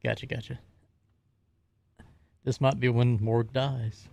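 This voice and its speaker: A man's voice: mid-range voice, little pitch movement, takes it slowly, creaky, darkish tone. A man playing a tabletop roleplaying game, chatting casually at the table.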